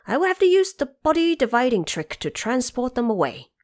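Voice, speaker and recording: A woman's voice: fairly high pitch, sing-song, fairly quick, pitched above the performer's own voice. A woman voicing a bold, scheming male Monkey King with a confident, commanding manner in an audio drama.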